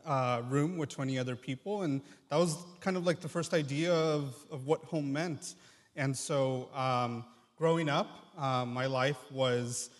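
Man, twenties, native Triqui speaker, earnest, halting, mid-pitched, personal testimony, long hall reverb. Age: 20s. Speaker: guest speaker, medical student. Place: large church room, live address.

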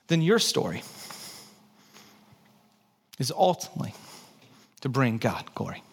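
A man with a Texan accent preaching a conversational, animated sermon, dropping to hushed low lines and jumping into lively high asides. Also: a little slow, creaky, slight background noise. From Texas. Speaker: pastor. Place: church sanctuary.

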